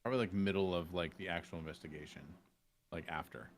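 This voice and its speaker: A man with a fairly low voice chats casually as a guest on a gaming podcast. His talk is loose and profane, with faint noise in the background. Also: fairly even intonation, fast. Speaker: podcast guest, roleplay streamer. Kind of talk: casual chat.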